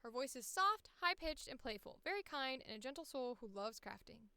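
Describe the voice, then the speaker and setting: A woman's voice: sits high, expressive, normal speed, bright, forceful tone, smooth, no echo. A woman voice actor chatting casually on a livestream, informally thinking aloud as she works.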